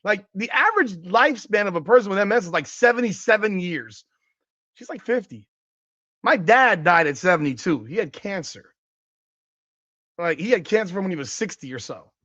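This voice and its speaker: A man in his forties hosting a casual sports podcast, ranting and cursing, his voice jumping higher whenever he gets worked up. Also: lively intonation, moderate pace, fairly bright tone, no echo, lo-fi sound. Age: 40s. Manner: ranting and profane, voice jumping up in pitch when worked up. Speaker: podcast host. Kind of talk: casual sports talk livestream, reading chat comments.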